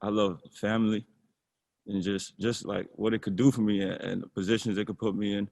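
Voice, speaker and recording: A young man, a college basketball player, answering interview questions in a relaxed way and often repeating words as he thinks. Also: on the low side, fairly quick, vocal fry, no echo. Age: young adult.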